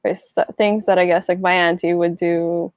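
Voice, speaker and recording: A woman's voice: on the low side, little pitch movement, normal speed, no room sound, studio quality. A young woman from Hawaii answering interview questions in a loose, rambling way, full of fillers and restarts. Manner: rambling, many likes and restarts. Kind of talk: casual interview.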